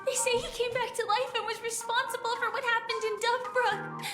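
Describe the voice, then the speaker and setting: A woman's voice: very high voice, steady tone, fairly quick, roomy sound, music in the background. A woman voicing a timid, anxious young female student in a game, stammering nervously. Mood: scared. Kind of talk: game voice acting.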